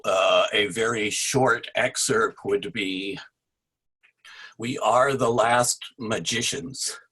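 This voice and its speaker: A man's voice: on the low side, slow pace, bright, forceful tone, husky, low-quality recording. A man speaking casually on a video call, telling an anecdote as a participant in a salon. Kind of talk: casual anecdote with a recited play excerpt.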